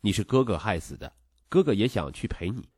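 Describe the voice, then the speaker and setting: A man's voice: fairly low pitch, big pitch swings, brisk, a little mellow, slightly rough, dry recording, studio quality. A man voicing a timid, guilt-stricken young boy in a storybook narration, tender, hesitant and sad. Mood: sorrowful.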